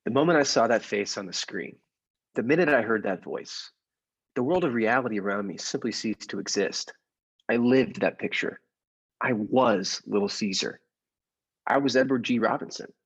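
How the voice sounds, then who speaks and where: Man playing a husky henpecked husband narrator. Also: lowish voice, normal speed, muffled recording. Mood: brooding, confiding. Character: henpecked, meek husband narrating his secret escape. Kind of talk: old-time radio drama narration.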